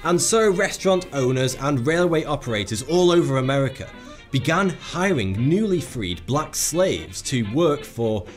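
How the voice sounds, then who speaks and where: Wry man narrating, lively pitch. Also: moderate pace, soft background music. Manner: sarcastic, wry storytelling. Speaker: narrator of an explainer video. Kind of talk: scripted narration.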